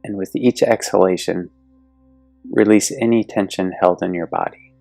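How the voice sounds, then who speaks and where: A man gently and soothingly guiding a yoga nidra meditation, speaking deliberately with long pauses. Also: low-pitched, steady tone, vocal fry, no room sound. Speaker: guided meditation instructor. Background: soft meditation music.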